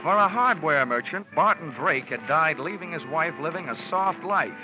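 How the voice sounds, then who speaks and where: A man's voice: fairly high pitch, lively intonation, normal speed, natural voice. Man acting a wisecracking radio detective, muffled vintage recording. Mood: dry narration. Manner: sardonic, quippy. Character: wisecracking, cynical freelance male insurance investigator. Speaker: radio drama lead and narrator. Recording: muffled old radio broadcast, music behind.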